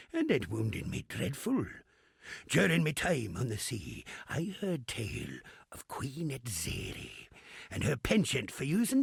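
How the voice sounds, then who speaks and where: A crusty old captain for a video game, roguish and grumbling. The voice is heavily gravelled and very hoarse. It swings widely in pitch through theatrical, salty sailor talk. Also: male voice, a bit bright, no room sound, clean studio sound, full character voice. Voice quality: gravelly, raspy. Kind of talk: video game character acting.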